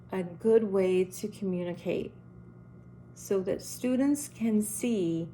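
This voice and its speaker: A woman's voice: mid-range voice, darkish tone, a little hiss or hum. A middle-aged woman who runs a school, with a strong, carrying voice, giving earnest, direct advice to parents and teachers. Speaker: school principal.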